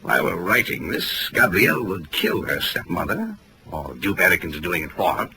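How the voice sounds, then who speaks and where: An actor playing a pompous, inquisitive mystery writer, arch and erudite, in a 1940s radio drama style. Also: male voice, low-pitched, very animated, moderate pace. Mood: arch, musing. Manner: arch, erudite, musing aloud. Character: pompous, theatrical male mystery author, inquisitive and condescending. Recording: crackly vintage radio drama recording.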